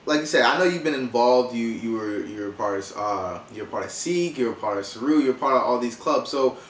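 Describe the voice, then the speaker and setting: A man in his twenties hosts a casual interview podcast with loose, slangy phrasing. His voice sits at an average pitch and sounds set back from the mic, in an echoey room with faint noise. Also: expressive, a little fast, distant mic. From Haitian background. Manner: informal, slangy. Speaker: podcast host.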